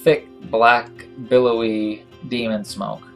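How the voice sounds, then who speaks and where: A man's voice: mid-range voice, level tone, slow pace, vocal fry. A man working as game master, narrating a tabletop game in a conversational storytelling style. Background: soft guitar music.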